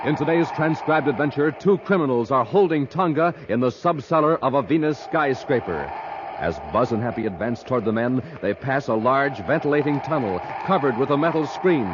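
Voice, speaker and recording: A man's voice: medium pitch, animated, fairly quick. A man giving a dramatic, declamatory opening announcement and narration for a 1950s radio serial. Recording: noisy, muffled 1950s radio recording.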